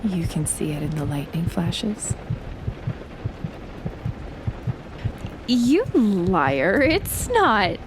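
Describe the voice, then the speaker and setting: Casting: actress as shy, teasing best friend in love, for intimate audio roleplay; highish, very unhurried, flustered, half-whispered, rain and thunder behind. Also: female voice, noisy background, exaggerated voice. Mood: playfully indignant. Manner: playful, flustered, hesitant, breathy half-whispers.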